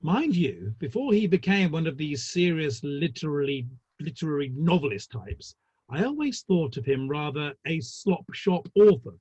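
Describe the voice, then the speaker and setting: Husky, high-pitched, droll Victorian theatre man played by a man. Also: very animated, moderate pace, darkish tone, muffled recording. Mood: droll, gossipy. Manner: arch, ornate, droll. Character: wry, talkative Victorian theatre manager, urbane and gossipy. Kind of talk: dramatic play reading. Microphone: online call.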